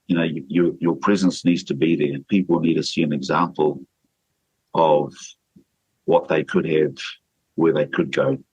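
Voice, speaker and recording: A man's voice: a bass voice, fairly even intonation, normal speed, pauses often, a little mellow, husky, dry recording, low-quality recording. A man leading a church, speaking earnestly and steadily in a radio interview, with fillers and restarts. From New Zealand. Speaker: church leader.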